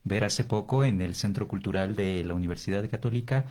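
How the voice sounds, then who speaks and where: A man's voice: fairly low pitch, fairly quick, a little mellow. A man hosting a conversational interview, appreciative and tentative, often ending on a questioning tag. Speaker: interview host.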